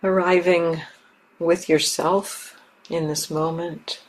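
Slow-paced woman guiding a meditation. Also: on the low side, little pitch movement, low-quality recording. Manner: gentle, halting, with repeated soft invitations. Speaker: meditation teacher. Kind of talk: guided meditation, unscripted and spoken in pauses. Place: meditation center talk.

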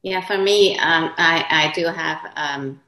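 Class moderator: low-pitched woman, first language Chinese, slow, gentle and hesitant, roomy echo on a video call. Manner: gentle, hesitant, with soft laughs. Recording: echoey room on a video call.

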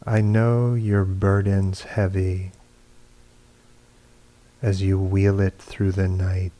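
A man's voice: low-pitched, level tone, takes it slowly, mellow. A man leading a guided meditation as a teacher, reading poems and reflections in sparse phrases with long silences. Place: meditation retreat hall.

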